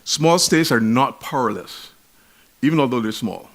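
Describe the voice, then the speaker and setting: A man with a Barbadian accent giving an academic conference lecture in a measured, emphatic way, often restarting his words. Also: medium pitch, expressive, a bit bright. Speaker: conference speaker.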